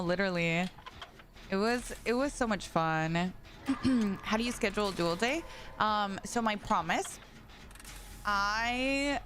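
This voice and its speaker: A woman's voice: mid-range voice, fairly bright tone. Young woman, livestreamer, tired, chatty, greets chat, casual, noisy background. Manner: chatty, reads and greets chat, frequent likes. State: tired from staying up late. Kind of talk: casual live stream chat.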